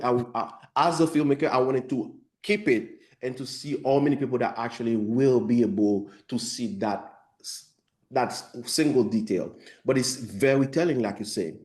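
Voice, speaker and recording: A man's voice: mid-range voice, darkish tone. A filmmaker from Haiti with a Haitian accent, answering questions thoughtfully on a video call, often restarting his sentences. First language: Haitian Creole or French. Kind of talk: post-screening Q&A over video call.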